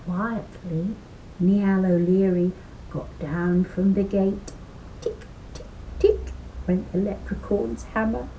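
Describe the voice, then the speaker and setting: A woman in her sixties or older reading a children's story aloud expressively, with deliberate phrasing and dramatic emphasis, at home on a computer microphone. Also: medium pitch, fairly even intonation, narrow, tinny audio, slight background noise. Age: 60s or older. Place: living room at home beside window. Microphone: webcam or laptop microphone.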